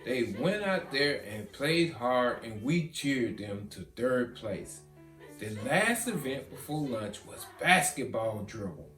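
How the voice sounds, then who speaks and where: A man reading a children's storybook as narrator, animated and with dramatic pauses. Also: medium pitch. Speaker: author reading own children's book. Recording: roomy echo, guitar music behind.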